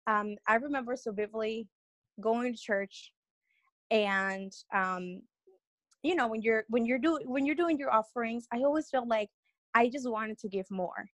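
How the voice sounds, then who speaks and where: Young woman from peru rambling thru her story, kinda high voice, dry mic. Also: a little slow, a bit bright, no echo. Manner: rambling, many fillers and restarts, earnest. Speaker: podcast guest. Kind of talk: casual interview storytelling.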